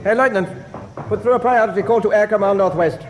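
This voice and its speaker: A man plays a German admiral in a wartime radio drama, with a high-pitched voice and rapid delivery. He is stern and exacting, every phrase clipped and formal. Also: close to an everyday voice, low-quality recording. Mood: curt, commanding. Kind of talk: full-cast radio drama acting.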